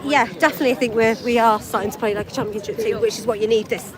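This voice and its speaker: A woman co-hosting a casual fan podcast, chipping in with short agreeing replies and quick remarks. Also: a little high, fairly even intonation. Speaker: podcast co-host. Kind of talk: casual chat. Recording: loud crowd noise behind.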